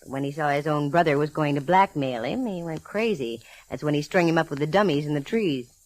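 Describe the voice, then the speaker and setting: An actress playing a sharp, breezy female private eye in 1940s radio style, brisk and teasing. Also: deep voice, dry recording, lightly acted, poor audio. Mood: brisk, knowing. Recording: vintage 1940s radio recording.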